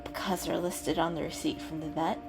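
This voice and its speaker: A woman's voice: low-pitched, steady tone, normal speed, music in the background. A woman voicing a caring, know-it-all female cat in a storybook, explaining patiently and kindly.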